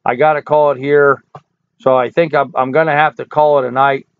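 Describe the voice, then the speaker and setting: An older man hosting a casual live stream, hoarse from hours of talk, folksy and rambling as he answers chat comments. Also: mid-range voice, level tone, a little fast, dry recording. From United States. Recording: dry, tinny phone-like audio.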